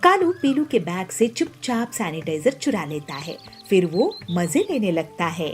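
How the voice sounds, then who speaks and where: Calm woman narrating a kids' cartoon. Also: on the low side, unhurried, soft background music. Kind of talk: storybook narration for children.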